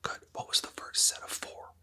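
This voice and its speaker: A person whispering gentle, patient clinical instructions in a close, quiet ASMR medical roleplay as a doctor. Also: normal speed. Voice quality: whispered, mostly unvoiced. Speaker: ASMR creator playing a doctor.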